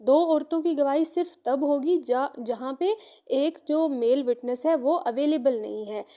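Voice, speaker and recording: A woman with a Pakistani accent giving an explanatory law lesson, mixing two languages and slowing down to read out points before explaining them. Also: high voice, warm tone. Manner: code-switches between Urdu and English, slows down when reading out. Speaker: law lecturer.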